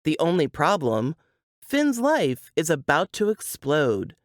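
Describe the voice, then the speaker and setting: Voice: medium pitch, huge pitch swings, slow, no room sound, clean studio sound. An adult voice reading a book blurb as scripted narration in a dramatic style.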